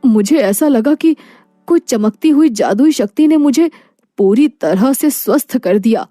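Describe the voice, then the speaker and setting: Woman acting a mellow, humble poor husband. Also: sits high, lively intonation, measured pace, no room sound, soft background music. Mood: wondering. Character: humble, proud, kindly poor toymaker husband. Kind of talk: animated moral story dialogue.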